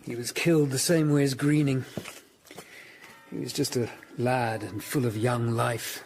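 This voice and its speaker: An actor playing a grave, compassionate, determined Tudor lawyer-detective in a radio drama. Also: male voice, mid-range voice, steady tone, measured pace, darkish tone, soft background music, lightly acted. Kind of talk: BBC radio drama acting.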